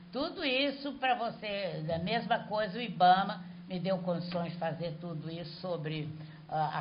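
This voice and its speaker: An older woman speaking Brazilian Portuguese, an artist answering questions after a talk, telling lively stories and laughing as she goes. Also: fairly low pitch. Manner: lively storyteller, laughs while telling anecdotes. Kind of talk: lecture Q&A, conversational. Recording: muffled lo-fi hall recording with faint noise.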